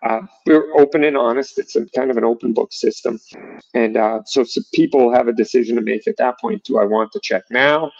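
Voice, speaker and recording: A man's voice: mid-range voice, brisk, dry recording, dull audio. A man chatting casually as an interview guest, explaining things and acting out bits of imagined dialogue. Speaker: gun shop owner, podcast guest.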